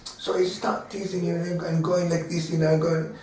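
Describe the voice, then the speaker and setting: A man's voice: a little high, level tone, normal speed, darkish tone, a little hiss or hum. Man lecturing in a very echoey room. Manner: storytelling, acting out voices in dialogue. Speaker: rabbi. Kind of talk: Torah class lecture. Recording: very echoey room, mic far away.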